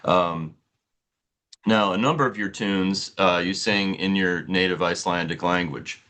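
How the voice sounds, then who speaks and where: A man with a low voice interviewing a musician in a relaxed, conversational way. He is quick to laugh and heaps on the compliments. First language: English. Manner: easygoing, laughs often, enthusiastic praise. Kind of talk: casual interview.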